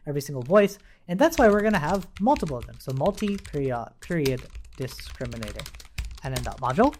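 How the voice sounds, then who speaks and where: A man's voice: on the high side, darkish tone. A man giving an informal coding tutorial as an instructor, thinking aloud while he types and often checking in with a questioning tag. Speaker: programming instructor.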